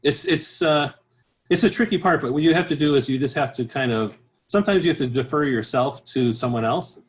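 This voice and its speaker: A man speaking as an interview guest, explaining things conversationally as a choral professional, with halting, repeated phrases. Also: fairly high pitch. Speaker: interview guest, professional bass singer and choir director.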